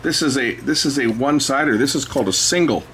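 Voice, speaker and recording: Male host, casual hands-on show-and-tell, thinks aloud, mid pitch, noisy workbench recording. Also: fast talker, fairly bright tone. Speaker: host showing old records. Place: indoors at a cluttered electronics workbench.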